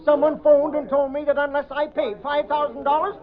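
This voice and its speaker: An actor playing an irate, suspicious ship boss in vintage radio drama, accusing and insistent. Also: male voice, very high-pitched, completely flat delivery, a little fast. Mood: angry, firm. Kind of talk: 1930s-40s radio drama acting. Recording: muffled old radio recording, busy background.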